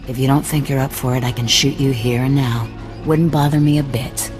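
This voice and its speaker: A gruff, suspicious rebel commander with cybernetic implants, as a man plays him, handing out threats in a flat, curt voice. Soft game music plays underneath. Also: mid-range voice, brisk, close to an everyday voice. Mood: hostile. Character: hard, distrustful male rebel leader with cybernetics. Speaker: resistance cell leader. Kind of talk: video game cutscene acting.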